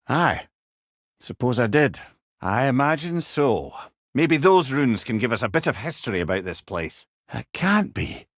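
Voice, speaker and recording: A wise old Scottish companion from a video game, talkative and sentimental under the wry surface. His delivery swings freely up and down in pitch, folksy yet eloquent. The voice sits close and dry, with no room around it. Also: no echo. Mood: troubled. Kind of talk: video game character dialogue.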